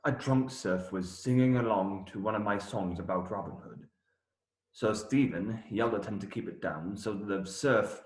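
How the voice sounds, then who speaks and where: Guy acting a young troubled minstrel for a radio play, slow heartfelt delivery, mid pitch, roomy echo. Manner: earnest, expressive, folksy. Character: cheery young male minstrel, lately angry and troubled.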